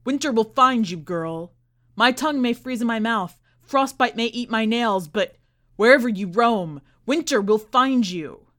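A woman's voice: fairly high pitch, expressive, a little slow, a bit bright, voice pushed up. A woman voicing an imperious, theatrical older male magician with a grandiose, commanding manner in a story reading. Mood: shrieking fury.

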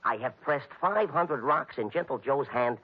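A man's voice: medium pitch, lively intonation, measured pace, husky. An actor playing a flashy small-time American gambler in 1950s radio style, a man with a pompous, over-formal way with slang. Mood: rueful storytelling. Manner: stilted, over-formal underworld slang without contractions. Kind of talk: 1950s radio comedy-detective acting. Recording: dull, muffled vintage radio recording.

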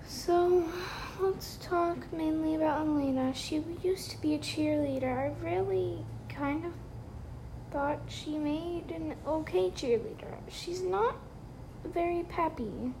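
A woman's voice: high voice, slow pace, creaky, noisy background. A young woman hosting a casual solo podcast from her bedroom late at night, chatty and rambling with lots of fillers, sounding tired. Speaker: podcast host.

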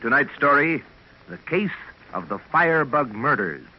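A man's voice: fairly high pitch, lively intonation, measured pace, loud music behind the voice. An American man working as a radio announcer, presenting a sponsored drama in 1940s style. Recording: muffled, noisy 1940s radio recording.

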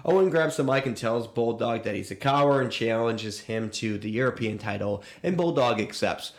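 Male podcast co-host, average pitch, informal and crude-humored recap chat, echoey room sound. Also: expressive, moderate pace. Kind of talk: casual chat recapping a wrestling show.

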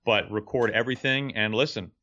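A young man with a deep voice, an earnest, reflective baseball broadcaster telling his story as an interview guest. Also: a little fast, bright, forceful tone, muffled recording.

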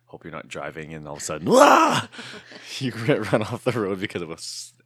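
A podcast host in his thirties talks loosely and rambles, laughing between thoughts. His voice is very low and raspy, worn hoarse. The sound is close and dry. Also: wildly swooping pitch, moderate pace, a bit bright, no echo. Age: 30s. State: hoarse from shouting the night before. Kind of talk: casual podcast chat.

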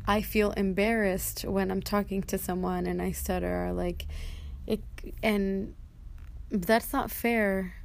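A young adult woman hosting a personal motivational podcast, speaking casually and candidly in a halting way with an occasional stutter. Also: medium pitch, gravelly, a little mellow. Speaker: podcast host. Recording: noticeable background noise.

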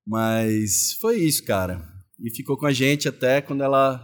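A man's voice: mid-range voice, expressive, normal speed. Man, forties, Brazilian accent, mid-range voice, casual chat, slangy informal storytelling, interview guest. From Salvador, Bahia, Brazil; moved to São Paulo state as a child. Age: 40s.

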